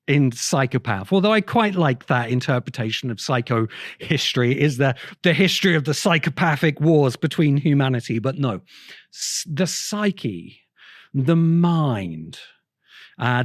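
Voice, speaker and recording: Slow, deliberate man giving a reflective lecture. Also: medium pitch, no echo, clean studio sound. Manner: deliberate, pausing between phrases, erudite. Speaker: host of a science fiction essay show. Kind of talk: reflective video-essay lecture.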